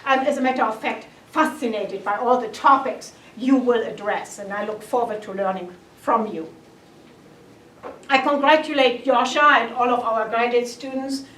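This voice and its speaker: A woman professor gives a formal welcome, emphatic and full of rhetorical questions. Her voice is fairly high. It carries from a distance through a roomy, echoing lecture hall. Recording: echoey hall, speaker far from mic.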